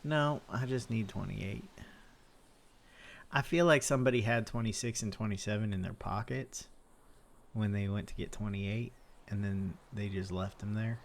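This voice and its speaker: A man's voice: lowish voice, normal speed, gravelly. A man playing a rambling, hesitant easygoing guy. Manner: hesitant, rambling, repeats himself. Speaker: roleplay character. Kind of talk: improvised roleplay chat.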